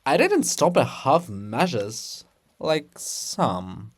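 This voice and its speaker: A man voicing a boastful monument of an old ruler, complaining loudly in a high voice in a dramatic farce. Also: huge pitch swings, drawn-out words, slightly rough, heavily acted.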